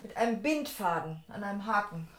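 An actress playing a loyal, caring secretary to a detective, brisk and attentive, in a radio play. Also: female voice, mid-range voice, lively intonation, noisy background, close to an everyday voice. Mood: sceptical. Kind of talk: radio drama acting.

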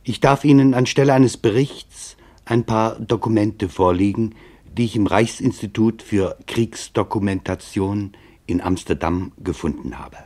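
A man with a fairly low voice narrates a documentary radio play. He reads from a script gravely and deliberately, setting phrases apart with weighted pauses. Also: darkish tone.

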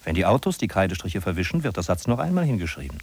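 An actor playing a sardonic narrator in a 1950s German radio play, ironic, declamatory and emphatic. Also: male voice, sits low, sing-song, fast, darkish tone, heavily acted. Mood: bitterly ironic. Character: sardonic male narrator of a bleak big-city inventory. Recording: husky-sounding 1950s radio recording from cassette.

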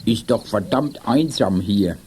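An actor playing a lonely old quarry watchman in a children's radio play, gruff and reminiscing. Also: on the low side, lively intonation, measured pace, darkish tone, a little hiss or hum. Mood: wistful, chatty. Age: older.

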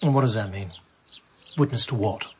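A man's voice: fairly low pitch, moderate pace, fairly bright tone, a little hiss or hum, close to an everyday voice. A man with a British accent playing a reserved, blunt veteran in a radio drama, speaking tersely. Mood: suspicious. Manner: terse, dry. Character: reserved young man back from war, blunt. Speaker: man home from war.

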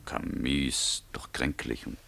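Very deep, anxious secret lover. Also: male voice, steady tone, measured pace, creaky, breathy edge, whispered, full character voice. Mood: defensive. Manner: hushed, hesitant, urgent. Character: anxious, cautious secret lover of a married woman. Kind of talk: German radio play acting.

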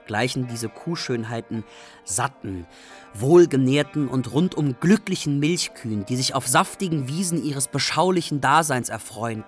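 An actor playing a wry young Iraqi refugee who tells his story in a radio drama with expressive irony. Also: medium pitch, natural voice. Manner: ironic, expressive, carefully phrased. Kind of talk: radio drama narration, literary monologue.

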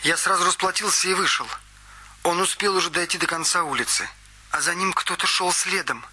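An eager young witness. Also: male voice, high-pitched, fairly quick, bright, forceful tone, slightly rough, heavily acted. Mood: earnest. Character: eager young male witness recounting events. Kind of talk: radio play acting. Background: music.

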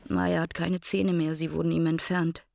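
A woman's voice: low-pitched, level tone, normal speed, gravelly, darkish tone, a little hiss or hum. A woman narrating a scripted literary radio drama in terse, rhythmic, repetitive phrases.

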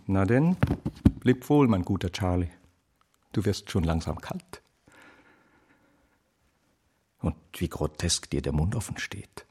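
An actor playing an urbane, cynical male opportunist in a radio play, sardonic and coldly detached. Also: fairly low pitch. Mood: muttering, callous. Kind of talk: German radio play monologue. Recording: clearly noisy background.

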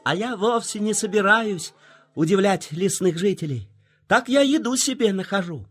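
Children's audio play: thrifty, friendly nuthatch bird, high-pitched, husky, self-assured. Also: male voice, expressive, moderate pace, fairly bright tone, soft background music, full character voice. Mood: matter-of-fact, friendly.

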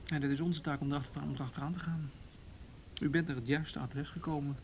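An actor playing a patient, tactful police detective in a radio play, asking questions in a measured, procedural and hesitant way. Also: male voice, a little high, fairly even intonation, a little hiss or hum, lightly acted. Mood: calm, tactful. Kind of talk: Dutch radio drama dialogue.

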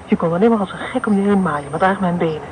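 An actress playing a fearful, earnest woman recalling childhood terror in a radio play, speaking quietly and intensely. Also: fairly low pitch, rapid-fire, noisy background, lightly acted. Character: fearful woman recalling being buried in sand.

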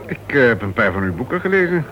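An actor playing a reserved, wary ex-convict turned author in a Dutch radio play. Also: male voice, medium pitch, sing-song, fast, muffled recording, crowd noise, close to an everyday voice. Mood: reserved, curious.

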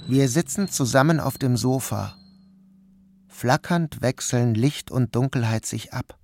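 A man narrating a literary novel in the first person, in a measured, subdued, dreamlike tone. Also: medium pitch, creaky, a little mellow, soft background music. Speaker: audiobook narrator.

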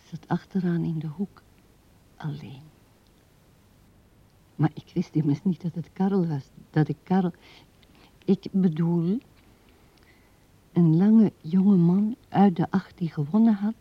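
A woman's voice: lowish voice, no echo. Een vrouw speelt een oude weduwe die mijmerend en haperend tegen haar overleden man praat in een hoorspel. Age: older. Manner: musing, halting, with breathy asides. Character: old widow musing tenderly to her dead husband. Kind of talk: radio drama monologue. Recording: dull, band-limited 1970s radio recording.

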